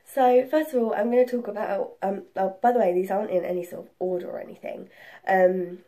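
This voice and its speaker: A young woman chatting casually and excitedly about books as a vlog host, rambling and correcting herself, slightly congested with a cold. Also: medium pitch, brisk. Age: young adult. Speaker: book reviewer and video host. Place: room at home.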